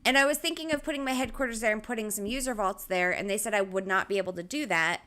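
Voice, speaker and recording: A woman's voice: mid-range voice, fast, sharp tone. A woman co-hosting a podcast in casual chat, asking pointed follow-up questions. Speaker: podcast co-host.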